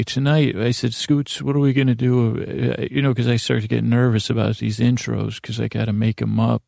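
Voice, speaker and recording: Male podcast monologist, very gravelly, close dry sound, improvised and meandering, keeps interrupting himself. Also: mid-range voice, mellow, no echo. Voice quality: gravelly, creaky. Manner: meandering, halting, self-interrupting. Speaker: podcast host.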